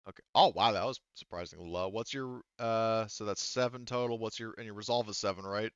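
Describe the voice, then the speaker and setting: A man's voice: lowish voice, fairly quick, clear, resonant tone, no echo, highs cut off. A man narrating a tabletop roleplaying game as game master in a casual way, thinking aloud and swearing freely.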